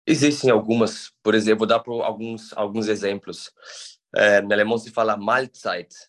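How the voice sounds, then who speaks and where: Low-voiced man from São Paulo. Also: steady tone, moderate pace. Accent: São Paulo Brazilian Portuguese. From Brazil. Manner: hesitant, restarts words, explains patiently. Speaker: guest. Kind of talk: conversational interview.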